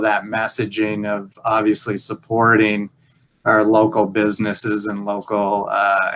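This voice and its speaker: A man giving an informal update on a conference call in a halting, hesitant way. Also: on the low side, sharp tone, rough recording. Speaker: local employer survey presenter. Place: group video call.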